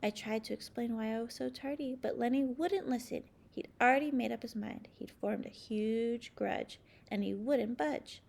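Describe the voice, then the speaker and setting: A woman narrates a children's story aloud. Her voice sits a bit high and often crackles into creak. She reads expressively, leaning on key words with dramatic emphasis. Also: moderate pace, hardly any pauses, a little mellow. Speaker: storybook reader.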